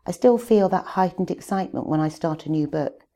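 An older author from Wales reads her own memoir aloud, with wry, gentle humour. Her voice is low and mellow, and her delivery is unhurried and measured.

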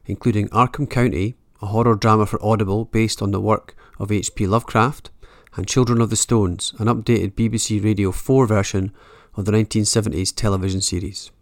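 A man's voice: on the low side, steady tone, brisk, hardly any pauses. A man speaking as the interviewer on a books podcast.

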